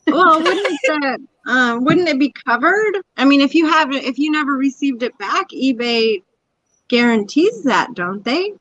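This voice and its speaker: Guest: American woman, high pitch, tentative casual delivery, dull lo-fi call sound. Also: lively intonation, a little slow, fairly bright tone, dry recording. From Arizona, US. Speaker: guest on a live show. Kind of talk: casual chat. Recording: dull, muffled video call audio.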